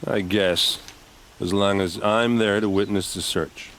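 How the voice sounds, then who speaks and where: A man's voice: steady tone, a little slow, a bit bright, slight background noise. An actor voicing a young male warrior in a video game who grieves his murdered sister, earnest and pleading. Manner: earnest, pleading, low-voiced.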